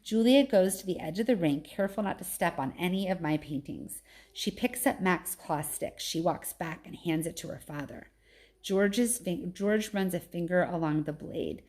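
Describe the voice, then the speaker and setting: A woman teacher reading a children's novel aloud in an expressive storytelling style. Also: lowish voice, normal speed.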